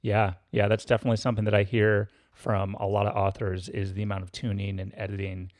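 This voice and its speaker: A man hosting a podcast interview, asking questions in an easygoing, somewhat halting way. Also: lowish voice, flat delivery, a little mellow, dry recording, clean studio sound. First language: not French. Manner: easygoing, halting, friendly prompts. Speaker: podcast host. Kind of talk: interviewing a guest.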